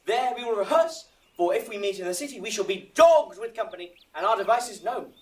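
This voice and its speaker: A man's voice: high voice, expressive, brisk, far from the mic. A man playing the earnest organiser of an amateur acting troupe, instructing and coaxing precisely in a Shakespearean audio drama.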